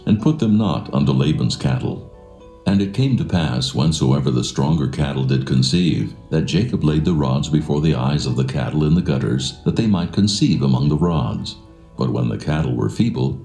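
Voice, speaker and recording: A man narrates scripture with stately solemnity. His voice is exceptionally deep and mellow with a gravelly edge. Faint plucked guitar plays behind the measured delivery. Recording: roomy echo, faint music behind. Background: faint plucked guitar music.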